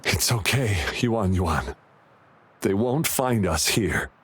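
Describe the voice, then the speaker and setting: Scared soft-hearted dad in a game cutscene, voice rises and falls a lot, slow. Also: male voice, fairly low pitch, lively intonation, a little hiss or hum. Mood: tender. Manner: tender, anxious and pleading. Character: devoted protective father, middle-aged man. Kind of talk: video game voice acting.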